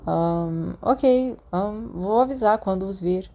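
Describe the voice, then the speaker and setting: A woman's voice: medium pitch, takes it slowly, mellow. A woman voicing a shy, polite schoolboy in a storybook, hesitant and understated, with a Brazilian accent.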